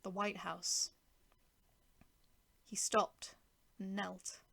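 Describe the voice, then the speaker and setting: A woman reads a first-person story in a creaky voice at an ordinary female pitch. Her delivery is wry and sardonic, moving between narration and spoken dialogue. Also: level tone, drawn-out words, a bit bright. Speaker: writer and narrator.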